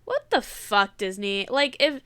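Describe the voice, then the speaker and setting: A woman's voice: mid-range voice, very animated, measured pace, pushed and bright, smooth. A woman hosting a reaction video in a casual, blunt, chatty way, congested with a cold.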